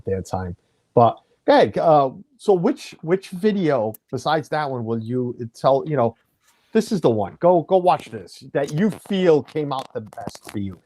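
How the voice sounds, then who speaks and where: A man's voice: medium pitch, warm tone, a little hiss or hum. A middle-aged American man hosting a casual video chat, talking in a rambling way and often correcting himself mid-sentence. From United States. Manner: chatty, rambling, self-correcting. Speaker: podcast host.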